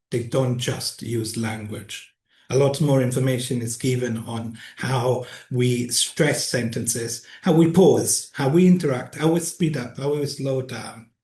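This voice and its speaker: A middle-aged man gives a public lecture at a middle pitch. He speaks in a measured, deliberate way, stressing his key points and asking rhetorical questions. The sound carries the echo of a large lecture hall. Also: darkish tone. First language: Italian. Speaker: university lecturer.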